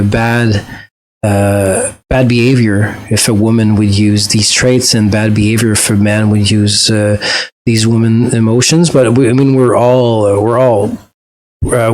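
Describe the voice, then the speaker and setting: A man's voice: lowish voice, low-quality recording. A man with a French accent, a native French speaker, talking through a reaction video in a hesitant, reflective way with many fillers and restarts. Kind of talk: casual reflective monologue.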